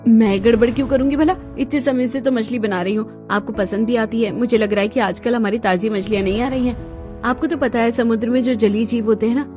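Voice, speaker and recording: A woman voicing a young daughter-in-law in a Hindi cartoon story, outwardly dutiful and polite but quietly sly. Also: fairly high pitch, close to an everyday voice. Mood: defensive.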